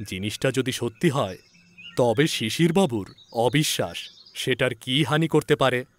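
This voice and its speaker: A man's voice: mid-range voice, animated, unhurried, slight background noise. A man narrating a literary story as a scripted dramatic reading.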